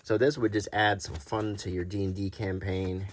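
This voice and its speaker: Low-voiced middle-aged man, casual show-and-tell. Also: little pitch movement, moderate pace. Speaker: host presenting a vintage game card collection. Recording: noticeable background noise, small clicks.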